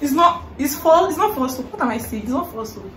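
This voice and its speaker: A woman's voice: sits high, expressive, fairly quick. A young woman with a Nigerian accent bantering casually in a playful, giggly, dramatic way. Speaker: YouTuber guest. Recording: echoey room, distant mic, background noise.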